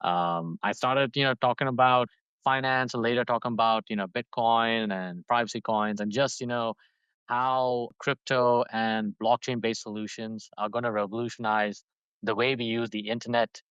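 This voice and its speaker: A man's voice: medium pitch, fairly even intonation, normal speed, fairly bright tone, smooth, no echo, lo-fi sound. A man from Dubai in his thirties, a podcast guest talking casually and rambling, with lots of fillers and restarts. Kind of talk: casual interview talk.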